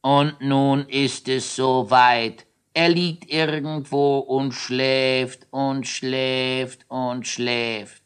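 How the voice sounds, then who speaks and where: A magical whirling worm from a storybook, indignant and commanding, as a man puts him on. His voice is raised above an everyday voice, and he stretches each word slowly and grandly. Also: medium pitch, flat delivery, clean tone, voice pushed up. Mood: satisfied. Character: magical male whirling worm, friendly but vengeful.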